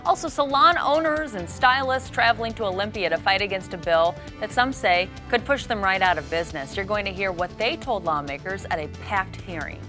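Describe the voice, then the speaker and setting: A woman's voice: mid-range voice, brisk, few pauses, over music. An American woman anchoring a morning TV newscast, reading scripted news.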